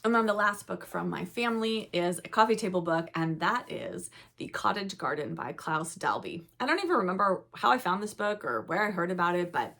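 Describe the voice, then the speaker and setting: Soft-spoken woman with a cold. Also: on the low side, animated, brisk, creaky, few pauses. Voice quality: soft-spoken, reads blurbs aloud. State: recovering from a cold, slightly raspy. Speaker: book vlogger. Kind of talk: casual book haul chat.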